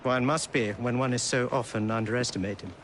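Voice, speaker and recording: An actor playing a dignified, shrewd exiled Indian king in a video game, speaking in a formal, measured, courtly way. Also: mid-range voice, steady tone, a little hiss or hum, close to an everyday voice. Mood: shrewd, composed. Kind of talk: video game cutscene acting. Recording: loud music behind the voice.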